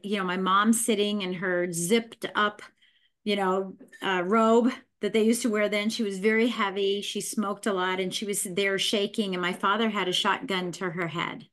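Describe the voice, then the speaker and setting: Middle-aged woman guest spilling her story, folksy and lively, mid-pitched. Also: normal speed. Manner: animated, folksy, mimics voices in her stories. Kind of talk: candid storytelling interview.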